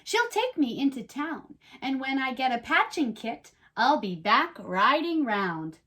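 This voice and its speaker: A woman playing a perky, chatty reading buddy who reads storybooks aloud on a children's show with animated, playful asides. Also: high voice, unhurried, exaggerated voice. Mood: enthusiastic. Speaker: co-host reading a picture book aloud.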